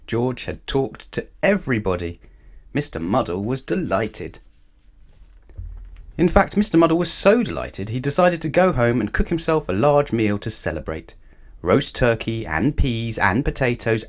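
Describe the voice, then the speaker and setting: A man's voice: medium pitch, very animated, measured pace. A man reading a children's picture book aloud expressively, speaking directly to young listeners. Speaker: storyteller.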